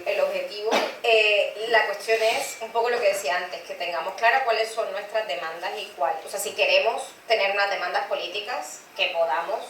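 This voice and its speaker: A woman's voice: medium pitch, fairly quick, hardly any pauses, fairly bright tone. Earnest woman politician, echoey and distant. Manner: argues earnestly, restarting phrases with "eh" and "o sea". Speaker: city councillor and political scientist. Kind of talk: panel conversation. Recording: echoey room, distant mic, faint noise.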